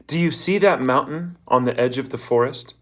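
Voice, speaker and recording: A man's voice: medium pitch, moderate pace, fairly bright tone, lightly acted. A man voicing a blunt old woman fortune-teller in a storybook, bossy and emphatic as she hands out scorn and instructions. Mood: instructing. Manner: emphatic, instructing, asks rhetorical questions.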